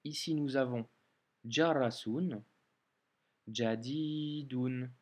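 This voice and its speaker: French-speaking guy teaching arabic letters, patient, lots of little pauses, mid-pitched voice. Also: a little mellow, slightly rough, highs cut off. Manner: patient, explains slowly, many hesitations and "euh". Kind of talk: online video lesson.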